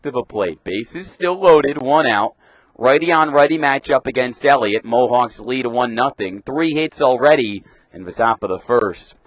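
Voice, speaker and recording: A young man works as a radio baseball announcer, giving live play-by-play. His pitch is middling for a man and the sound is dry. He has a crisp commentary delivery and puts punch into each pitch call. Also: a bit bright, no room sound. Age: young adult.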